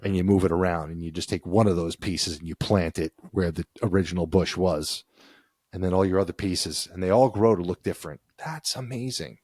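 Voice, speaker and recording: Low-voiced man co-hosting a chat. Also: a little fast, a little mellow, breathy edge, no room sound, clean studio sound. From United States. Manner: reads passages aloud, then riffs conversationally. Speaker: podcast co-host. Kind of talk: casual chat.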